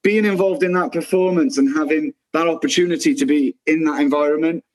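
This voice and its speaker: A man's voice: a little high, fairly even intonation, a little fast, darkish tone. A man, a cast member, chatting earnestly and gratefully, often restarting his sentences. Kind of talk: casual reunion chat.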